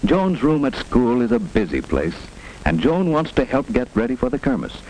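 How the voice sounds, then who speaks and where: Male narrator, lowish and animated, teaching children simply, 1940s school film with faint noise. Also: normal speed. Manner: instructive, simple phrasing for children. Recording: noisy 1940s film soundtrack with hiss.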